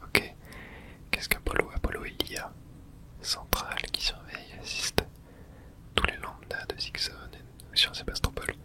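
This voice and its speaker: Hushed whispering ASMR gamer. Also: normal speed. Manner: whispers throughout, reads game texts aloud. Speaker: host playing and narrating a game. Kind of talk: whispered ASMR video game let's play.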